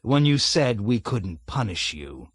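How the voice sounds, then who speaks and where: A man voicing a bloated, blustering army colonel who roars and hectors in a raised, loud voice. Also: fairly low pitch, sing-song, a little slow, husky, dry recording, studio quality, heavily acted. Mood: bellowing, bullying. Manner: roaring, hectoring, sarcastic. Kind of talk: audiobook character voice.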